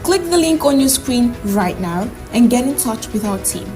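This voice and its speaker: A woman's voice: on the high side, expressive, moderate pace. A woman reading a scripted promotional voice-over in a punchy, persuasive manner. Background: music.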